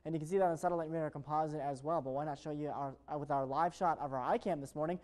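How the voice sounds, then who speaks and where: A man's voice: fairly high pitch, steady tone, rapid-fire, a little mellow. An American man presenting an ad-libbed television weather forecast in an upbeat, chatty way.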